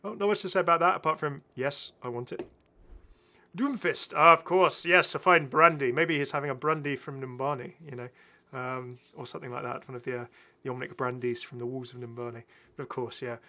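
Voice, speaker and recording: A man's voice: medium pitch, steady tone, a little fast, bright, forceful tone. A British man giving casual, enthusiastic, rambling commentary as the host of a gaming video. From Britain. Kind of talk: casual commentary over game footage.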